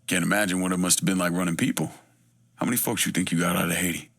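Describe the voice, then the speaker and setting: Hardened veteran gangster, very low, gravelly, Southern US accent, laconic, game cutscene. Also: male voice, steady tone, racing, a bit bright. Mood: wry, weary. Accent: American South. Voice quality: gravelly, husky. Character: tough, world-weary Vietnam veteran turned male gangster. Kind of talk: video game cutscene acting.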